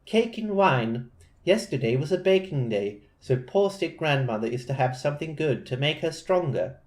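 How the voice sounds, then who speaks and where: A man voicing an innocent little girl with a put-on British accent, high and chirpy. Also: expressive, normal speed, darkish tone, close to an everyday voice. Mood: sweet.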